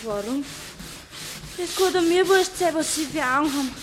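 A young Bavarian kitchen maid from the country, high-pitched and plain-spoken. She is plucky and ambitious, in 1950s radio drama style. Faint noise sits on the old recording. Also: expressive, normal speed. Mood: guarded. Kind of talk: 1950s German dialect radio play acting.

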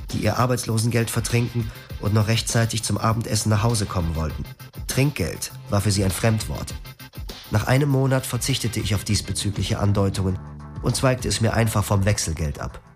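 Radio drama lead: male actor as troubled young husband, guilt-ridden, confessional, lower register. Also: normal speed, over music, close to an everyday voice. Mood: brooding. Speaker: actor, first-person narrator. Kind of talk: literary radio drama narration and dialogue.

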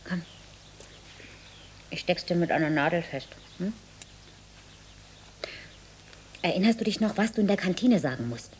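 An actress playing a worried, devout village mother who gives careful instructions in a radio drama, often in a hushed voice. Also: on the low side, animated, fairly quick, a little hiss or hum, natural voice. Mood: careful, tender. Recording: studio radio drama with clear background ambience.

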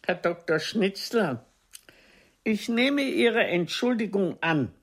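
Wounded aging theatre diva, radio drama, bitter and deliberate, very dramatic up and down delivery. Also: female voice, medium pitch, lively intonation. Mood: cool, probing. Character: aging, proud, wounded Viennese stage diva, bitterly accusing. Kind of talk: German radio play acting.

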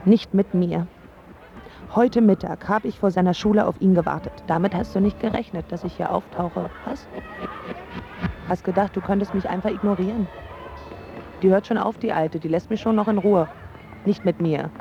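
A woman's voice: mid-range voice, moderate pace, vocal fry, long pauses, slight background noise, lightly acted. Actress as a passionate young woman, slightly muffled. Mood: hurt, intense. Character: passionate, wounded, spoiled young woman in love. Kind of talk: radio drama acting, first-person narration.

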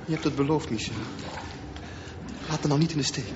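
An actor playing an insistent, eerie youth drawn to the sea in a radio drama. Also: male voice, a little high, steady tone, fairly quick, close to an everyday voice. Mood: coaxing, insistent. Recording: muffled radio recording with loud background noise.

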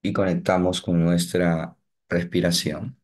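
A man guiding a meditation, giving slow, calm instructions with long pauses. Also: sits low. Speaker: meditation guide. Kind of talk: guided meditation.

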